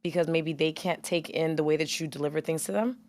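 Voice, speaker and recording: A woman's voice: sits low, steady tone, a little fast, darkish tone, dry recording, studio quality. A woman on a podcast, casually pressing her co-host with pointed questions. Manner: probing, asks pointed questions. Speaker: podcast guest. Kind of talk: casual conversation.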